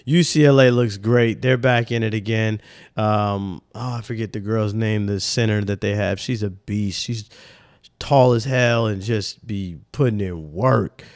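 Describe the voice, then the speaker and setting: A man's voice: fairly low pitch, unhurried, clear, resonant tone, dry recording, clean studio sound. A young man with a slightly nasal voice, hosting a sports podcast in loose, rambling casual talk. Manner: loose, animated, rambling with slang.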